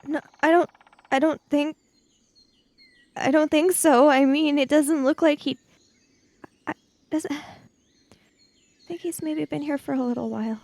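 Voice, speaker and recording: A woman's voice: high voice, fairly even intonation, measured pace, long pauses, darkish tone, dry recording. A woman voicing a frightened, hesitant young girl in a tabletop game, stammering and unsure. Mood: nervous, stammering. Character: frightened, hesitant kid named Lizzie, girl.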